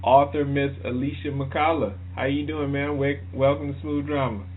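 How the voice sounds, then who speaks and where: A man's voice: medium pitch, little pitch movement, moderate pace. A man hosting a casual radio interview, informal, often repeating words as he builds his questions. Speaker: radio show host, interviewer. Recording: thin phone-quality radio audio.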